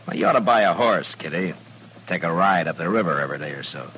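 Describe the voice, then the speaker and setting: Deep-voiced Old West marshal. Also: male voice, expressive, fast talker, pushed and bright, slight background noise. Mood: easygoing. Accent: American. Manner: laconic, firm, understated. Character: steady, dry-humored Old West marshal. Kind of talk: 1950s radio western acting and narration. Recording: old radio drama with music.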